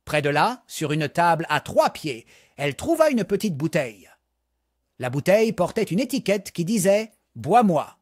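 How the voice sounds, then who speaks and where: A man narrating a scripted storybook for young children in an expressive read-aloud manner. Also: sits high, moderate pace, no echo, clean studio sound.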